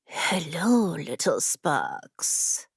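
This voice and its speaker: A woman voicing a tall, ancient female genie in a fantasy audiobook, sly and predatory, with a throaty, breathy purr and drawn-out, teasing delivery. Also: sits low, wildly swooping pitch, dry recording, clean studio sound, pitched below the performer's own voice, heavily acted.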